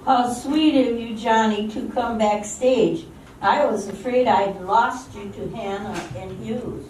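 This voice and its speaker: A woman's voice: on the high side, takes it slowly, a little mellow. A woman playing a possessive, sweetly scheming stage star in an amateur radio drama, far off in a very echoey hall. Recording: very echoey hall, distant, faint noise.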